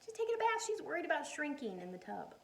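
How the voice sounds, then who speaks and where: A woman's voice: high voice, huge pitch swings, fast, creaky, noisy background. A woman reading a picture book aloud expressively to young children, adding simple explanations as a teacher would.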